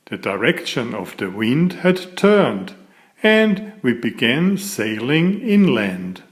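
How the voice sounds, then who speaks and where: A man reads a children's picture book aloud at an unhurried pace. His delivery is expressive and deliberate, with pitch that moves widely around a middle register. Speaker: author reading aloud.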